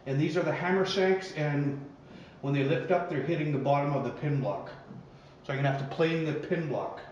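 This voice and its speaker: A man's voice: on the high side, fairly quick, darkish tone, muffled recording. Male technician, 50s, casual explainer for a DIY vlog, strongly reverberant room, noisy camera audio. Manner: plain-spoken, explanatory. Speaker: piano technician. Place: small indoor piano practice room. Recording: very echoey room, clear background noise.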